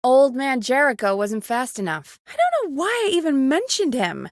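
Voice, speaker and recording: A woman producing a radio show in a video game. Her voice sits high and is recorded close and dry. She is earnest and supportive, with a wry, easily exasperated edge to her natural, expressive delivery. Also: moderate pace, dry recording. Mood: regretful, dejected. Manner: conversational, expressive, dryly exasperated.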